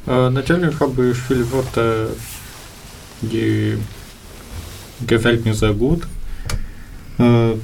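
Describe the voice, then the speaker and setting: Teenage man, Ukrainian accent, slow halting delivery, gravelly, faint background noise. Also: lowish voice, a little mellow. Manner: halting, many fillers, searching for words. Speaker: interview guest. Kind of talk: casual interview answers.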